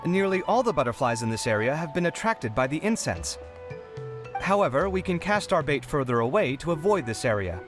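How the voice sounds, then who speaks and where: A man's voice: on the high side, big pitch swings, fairly quick, music in the background. An actor playing a respectful young male helper in a game, reporting dutifully.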